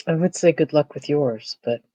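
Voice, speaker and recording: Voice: medium pitch, moderate pace, dark tone, dry recording, lo-fi sound, lightly acted. A player voicing a wary woman investigator, speaking firmly and dryly in a tabletop game. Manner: firm, dry and warning. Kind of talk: playing a character in a tabletop game.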